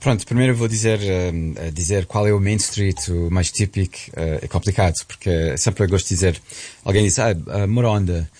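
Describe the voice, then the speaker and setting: Very deep-voiced, halting man. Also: darkish tone. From United States. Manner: halting, with repeated words. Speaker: guest commentator on a radio programme. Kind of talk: conversational opinion in a radio discussion.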